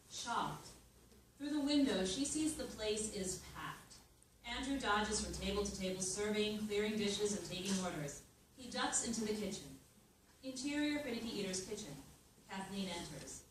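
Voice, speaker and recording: A woman reading stage directions aloud at a script table read, in a steady, matter-of-fact way. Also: medium pitch. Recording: echoey room, far mic, noise.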